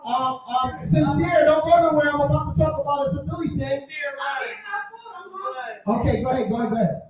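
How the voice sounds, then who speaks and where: A man in his forties with a strikingly high voice tells stories in a boisterous, casual way, repeating phrases for effect. He sounds far from the mic in a very echoey room. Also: brisk, a little mellow. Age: 40s. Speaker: podcaster telling their story at a live event. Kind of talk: casual live storytelling to an audience.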